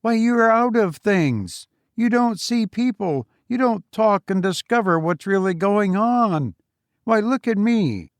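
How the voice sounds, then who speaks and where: A man's voice: high voice, lively intonation, takes it slowly, dry recording, studio quality, pitched above the performer's own voice, heavily acted. A man voicing a smug, patronizing, chatty English writer with a gushing, falsely modest manner in an audiobook story. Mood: patronizing, effusive. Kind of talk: acted dialogue in a literary audiobook.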